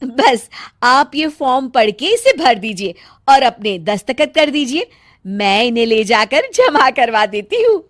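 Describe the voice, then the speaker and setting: A woman's voice: fairly high pitch, big pitch swings, measured pace, dry recording, studio quality. A woman voicing a crafty, confident elder daughter-in-law in a family comedy cartoon, coaxing and self-assured.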